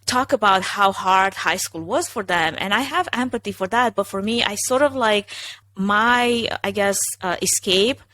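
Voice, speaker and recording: A middle-aged woman from Romania with a Romanian accent, a company founder telling her career story in an energetic, self-assured podcast interview. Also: medium pitch, moderate pace. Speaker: guest, event production company founder.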